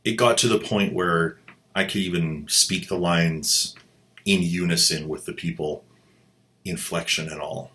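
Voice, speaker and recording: A man's voice: low-pitched, fairly even intonation, unhurried, bright, forceful tone, slight background noise. A man hosting a commentary show, sardonic and mocking with playful asides. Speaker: host. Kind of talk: sarcastic commentary.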